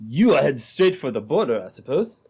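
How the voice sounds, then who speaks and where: A man playing a smooth-talking, scheming Frenchman in an old-time radio drama, phrasing things formally. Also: mid-range voice, big pitch swings, moderate pace, a little mellow, full character voice. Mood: sly, cautioning.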